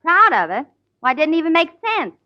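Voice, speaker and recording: A woman's voice: high voice, sing-song, a little fast. A woman trading dry, teasing wisecracks on a 1940s radio comedy show. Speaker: comedienne co-star. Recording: dull old radio broadcast recording.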